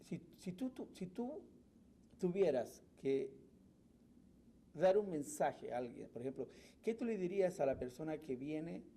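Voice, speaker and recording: A man's voice: fairly high pitch, very animated, unhurried, dark tone. A man hosting an interview, asking questions in a hesitant way with many fillers. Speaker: interview host.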